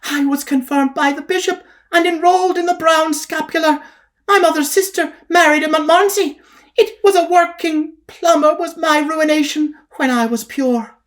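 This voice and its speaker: A prim, plaintive young woman, as a male reader puts her on, high and lilting as she owns up to her fall. A storybook character voice lifted well above the narration. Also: steady tone, moderate pace, a bit bright. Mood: confessing. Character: young woman of the streets, confessing.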